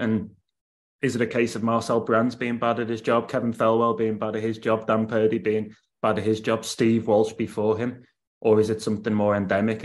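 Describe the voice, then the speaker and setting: A man's voice: on the low side, darkish tone. A man, a football writer on a podcast, talking through his analysis at length in a casual chat. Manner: analytical, weighs points at length.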